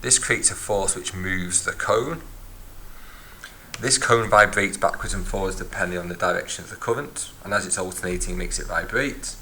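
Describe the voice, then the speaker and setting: A man's voice: low voice, normal speed, sharp tone, slight background noise. A man teaching a physics lesson, explaining step by step and recapping as he writes in a notebook. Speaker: science teacher. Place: at a desk, filming a notebook from above.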